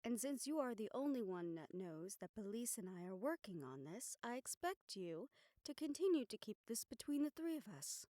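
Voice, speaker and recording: Woman acting composed, gentle noblewoman, close and dry. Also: fairly high pitch, sing-song, no room sound. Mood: calm, soothing. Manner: measured, gentle, formal phrasing with deliberate pauses. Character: composed young noblewoman soothing her worried dragon bodyguard. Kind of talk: intimate audio roleplay acting.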